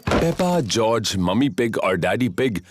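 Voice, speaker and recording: A woman narrating a children's cartoon in an expressive storytelling style. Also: a bass voice, unhurried, over loud music, heavily acted.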